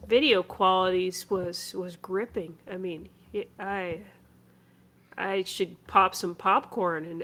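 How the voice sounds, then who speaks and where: A woman co-hosting a podcast, chatting casually in halting, hesitant phrases. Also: medium pitch. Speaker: podcast co-host.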